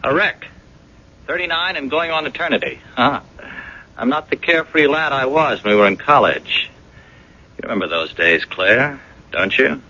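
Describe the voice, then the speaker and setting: A man's voice: mid-range voice, animated, normal speed, long pauses, pushed and bright. An actor playing a broke, ailing blackmailer in his thirties, wheedling and insinuating in a radio drama. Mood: sly, self-pitying. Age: 30s. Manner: wheedling, insinuating, calls her doll. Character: broke, ailing 39-year-old blackmailing ex-boyfriend, slyly menacing. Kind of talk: 1980s radio drama acting. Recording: dull lo-fi old radio broadcast.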